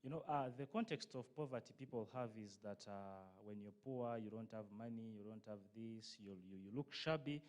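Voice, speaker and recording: A man's voice: lowish voice, moderate pace, few pauses, darkish tone. A young man with a Ugandan accent, a journalism student answering questions in an interview. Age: young adult.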